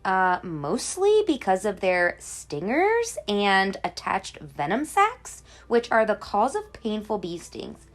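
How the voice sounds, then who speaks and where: A woman voicing a grumpy, nervous picture-book buddy who grumbles reluctantly with deadpan complaints. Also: mid-range voice, slow, hardly any pauses.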